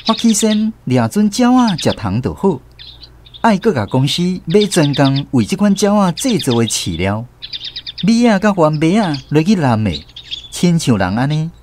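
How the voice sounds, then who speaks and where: A man's voice: a little high, sing-song, moderate pace, darkish tone, slight background noise. An actor with a Taiwanese accent narrating a radio drama as a man fondly recalling his rural boyhood. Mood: reflective storytelling. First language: Taiwanese Hokkien. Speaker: narrator telling his childhood story.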